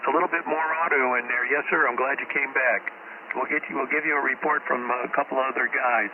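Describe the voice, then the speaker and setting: A man from Connecticut, rambling casually on the ham radio and telling stories with many ums. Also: medium pitch. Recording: tinny shortwave radio with static.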